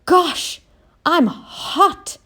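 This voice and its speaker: A woman voicing an excitable, eager young male dock helper in a children's storybook, calling out in exclamations. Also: extremely high pitch, extremely slow, voice pushed up, exaggerated voice. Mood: weary.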